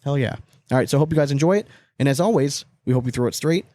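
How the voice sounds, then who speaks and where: Man, podcast host, rapid casual chat, slangy and sweary, dry close sound. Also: mid-range voice, mellow, no room sound.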